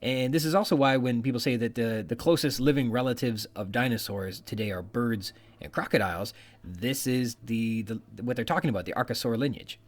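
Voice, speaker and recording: A man's voice: medium pitch, a little fast. A man hosting a podcast, explaining research in a semi-scripted way, reading out technical terms and quotations with informal asides. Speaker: podcast host.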